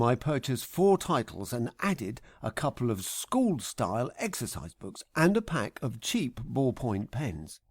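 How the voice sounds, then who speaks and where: Slow, expressive middle-aged male narrator. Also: mid-range voice, no echo, studio quality. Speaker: author reading own audiobook. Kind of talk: scripted first-person comic narration.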